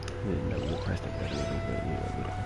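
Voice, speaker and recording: A man's voice: a bass voice, measured pace, creaky. A man giving casual reactions as he plays a game. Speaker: gamer commentator. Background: faint game music.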